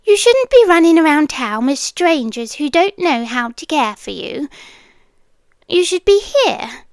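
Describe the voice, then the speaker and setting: A woman playing a possessive, devoted yandere girlfriend with a British accent, speaking softly and deliberately in an intimate ASMR roleplay, often slipping into whispers. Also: sits high, big pitch swings, no room sound, full character voice. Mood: coaxing, controlling. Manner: slow, deliberate, lingering pauses. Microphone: close microphone, dry sound.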